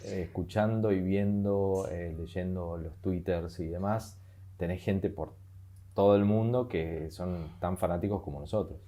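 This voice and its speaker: A man's voice: low voice, steady tone, measured pace, dark tone. A man with an Argentine accent co-hosting a podcast in casual chat, hesitant and full of fillers and repeated words. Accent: Argentine Spanish. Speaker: podcast co-host.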